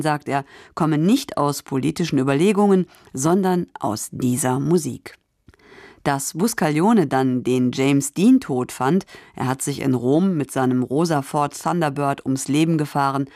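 A measured documentary narrator with a middle pitch and a gravelly edge. The scripted delivery is close and dry. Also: a little mellow, no echo. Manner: measured, informative.

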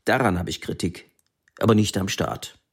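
An actor reading the court statement of a defiant ex-soldier defendant in a combative, insistent manner. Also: male voice, sits low, normal speed, vocal fry, breathy edge, exaggerated voice. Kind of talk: re-enacted courtroom testimony.

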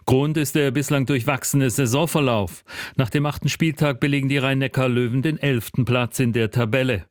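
A male sports reporter reads a scripted news item. His voice is mid-pitched and the studio sound is very dry. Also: fairly even intonation, moderate pace, no room sound.

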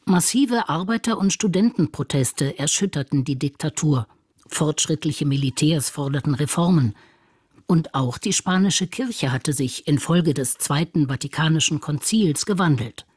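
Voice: mid-range voice, expressive, moderate pace, a little mellow, breathy edge, no echo, studio quality. A narrator reading a scripted radio documentary.

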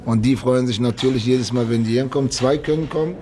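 A man of thirty-five with a medium-pitched voice gives a casual interview account. He talks in simple, colloquial phrases and often leaves out small words. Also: quick pace, mellow, slight background noise. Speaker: prison inmate being interviewed.

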